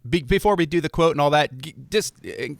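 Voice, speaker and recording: A man's voice: on the high side, a little fast, gravelly, dry recording, clean studio sound. A man from Ohio hosting a podcast interview, talking casually with lots of fillers and restarts. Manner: relaxed, many fillers and restarts. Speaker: podcast host.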